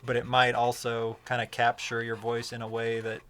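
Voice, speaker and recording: A man with a fairly low voice, chatting casually on a livestream and rambling with many fillers and restarts. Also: monotone, moderate pace, fairly bright tone, a little hiss or hum. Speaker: streamer talking about microphones.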